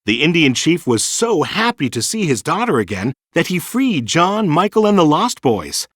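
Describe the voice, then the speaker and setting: A man reading a children's storybook in an animated, dramatic storytelling manner. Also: fairly high pitch, normal speed, no echo, clean studio sound. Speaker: storybook narrator. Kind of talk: scripted children's storybook narration.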